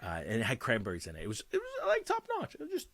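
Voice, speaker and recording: A man hosting a chatty podcast with a lively, swooping delivery, full of jokes and tangents. His voice sits in a middle register, recorded dry and close with no room sound. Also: mid-range voice, very fast, dry recording. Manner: joking, rambling, laughs often. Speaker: podcast co-host. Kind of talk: casual banter podcast.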